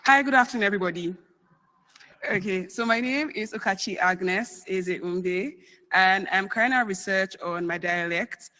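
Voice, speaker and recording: A woman's voice: mid-range voice, normal speed, sharp tone. A young woman giving a chatty conference research talk, full of fillers. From Nigeria. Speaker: researcher presenting.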